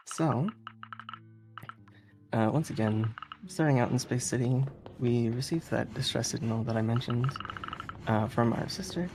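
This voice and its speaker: A speedrunner talks through a game live in a casual, chatty way. The delivery is hesitant and prone to giggling. The voice sits at a middling, androgynous pitch, with game music clearly playing behind it. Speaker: speedrunner presenting a game run. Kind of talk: casual live gameplay commentary.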